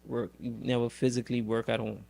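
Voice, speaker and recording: Podcast host: man, medium-pitched with noticeable creak, casual, feels his way through questions. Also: level tone, darkish tone. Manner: halting, repeats words while forming questions. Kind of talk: casual interview chat.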